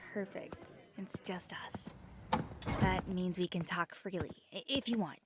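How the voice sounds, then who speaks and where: A woman's voice: fairly low pitch, measured pace, heavy background noise, natural voice. Woman playing a stammering, nervous young water wielder. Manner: stammering, apologetic, flustered; drops into soft whispered asides. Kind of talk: ASMR roleplay.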